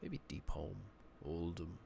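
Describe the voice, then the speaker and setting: Man, very low pitch, lively intonation, soft-spoken and whispery, ASMR game commentary, thinking aloud, noisy makeshift mic. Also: slow, gravelly. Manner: muttering, thinking out loud. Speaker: game streamer narrating a playthrough. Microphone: close makeshift microphone.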